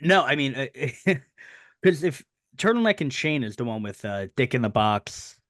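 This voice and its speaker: A man with a New Jersey accent hosts a casual podcast, circling his questions with ums and false starts. His voice has a middling pitch. The sound is dry and close. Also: very animated, fairly bright tone, dry recording. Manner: halting, many fillers and restarts, laughs easily. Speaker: podcast host.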